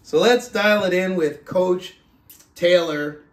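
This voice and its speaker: A man with a voice a bit higher than most hosts a book club podcast. He speaks in a deliberate way, leaving pauses and stretching words for emphasis. The recording is noticeably echoey, with the room clearly audible around his voice. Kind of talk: casual podcast talk and reading a book aloud. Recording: roomy, echoing sound.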